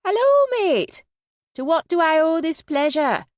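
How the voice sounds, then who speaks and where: A woman's voice: very high-pitched, sing-song, measured pace, dry recording, studio quality, pitched above the performer's own voice, full character voice. A woman voicing a cheery, affectionate Yorkshire woman in an audiobook, effusive and full of endearments. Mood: bright, delighted. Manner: chirpy, effusive, uses endearments.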